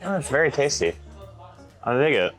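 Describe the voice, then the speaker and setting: A man's voice: mid-range voice, big pitch swings, moderate pace, a bit bright, soft background music. A man chatting casually as a travel companion in a vlog. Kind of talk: casual chat.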